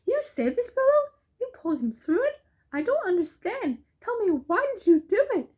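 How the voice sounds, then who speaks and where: A woman's voice: extremely high pitch, sing-song, moderate pace, a little mellow, pitched above the performer's own voice. A woman voicing an astonished storybook doctor, exclaiming and questioning in a puzzled manner.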